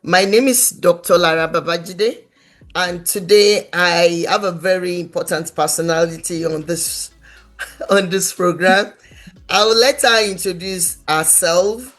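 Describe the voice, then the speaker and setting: Woman interview host, takes it slow, bit low voice, gentle and encouraging, music under her. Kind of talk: warm conversational interview hosting.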